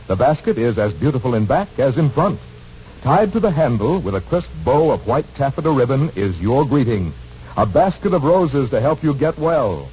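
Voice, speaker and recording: A man announcing in polished, scripted 1940s radio style, pitching a sponsor's product. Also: a little mellow, slight background noise. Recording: muffled 1940s radio recording, faint music.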